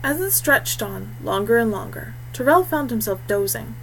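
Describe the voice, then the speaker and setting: A woman's voice: a little high, lively intonation, normal speed, vocal fry, slight background noise, rough recording. A woman reading a scripted adventure story as narrator. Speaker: audiobook reader.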